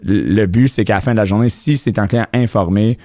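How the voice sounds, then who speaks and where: A low-voiced man with a Quebec anglophone accent hosts a casual podcast, emphatic and animated, often repeating himself. His voice sits a little below average in pitch. The sound is dry and close, with no room echo. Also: brisk. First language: English. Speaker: podcast host.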